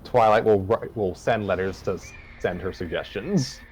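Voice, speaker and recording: A man reading a fan story aloud as a narrator, haltingly, stopping to correct his misreadings. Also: fairly low pitch, slight background noise. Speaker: story reader. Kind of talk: scripted narration read aloud from fan fiction, with stumbles.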